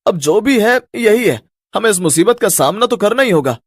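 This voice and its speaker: A man voicing a steady, resolute airline pilot in a cartoon, with a husky voice. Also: sits high, normal speed, no echo, studio quality.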